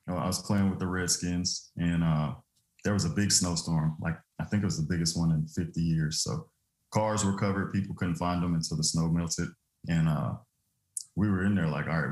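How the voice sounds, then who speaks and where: A man in his thirties from Louisiana, guest on an interview, telling stories in a relaxed, loose way with easy laughter. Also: sits low, reverberant. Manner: easygoing, laughs often, loose filler-heavy telling. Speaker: guest, former football player turned trucking business owner.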